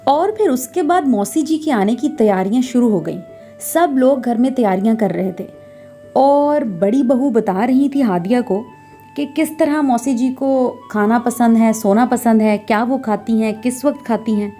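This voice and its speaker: A woman storyteller reads scripted narration in a high, mellow voice. Music plays beneath her as she tells a domestic tale. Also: moderate pace.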